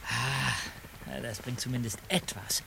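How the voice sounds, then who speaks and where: A man's voice: mid-range voice, fairly even intonation, takes it slowly, creaky, heavy background noise. An actor playing a weary, complaining teenage boy detective in a German audio drama. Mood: weary, grumbling. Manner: complaining, groaning.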